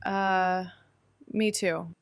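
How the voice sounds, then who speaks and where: A woman's voice: on the low side, fairly even intonation, drawn-out words, smooth, dry recording, studio quality. An actress playing a sarcastic, playful but secretly tender young woman in a naturalistic audio drama, with a flippant, casual delivery. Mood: gentle.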